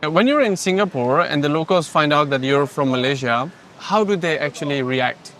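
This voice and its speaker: A man's voice: a little high, lively intonation, normal speed, fairly bright tone, a little hiss or hum. Casual male street interviewer. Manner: casual, probing follow-up questions. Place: city street outdoors.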